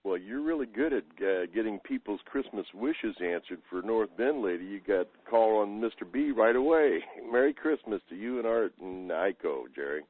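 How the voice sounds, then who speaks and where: Casting: genial, talkative American man, low register, casual call-in chat, tinny telephone audio. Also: animated, moderate pace, hardly any pauses, breathy edge, dry recording. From Oklahoma, United States. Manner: chatty, jovial, chuckles often. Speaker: caller from Oregon. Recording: narrow phone line, radio-like.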